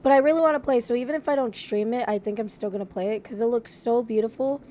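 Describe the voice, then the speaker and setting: Slightly hoarse chatty woman streamer. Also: fairly high pitch, quick pace, mellow, a little hiss or hum. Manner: chatty, effusive, with frequent restarts. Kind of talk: casual live-stream chat.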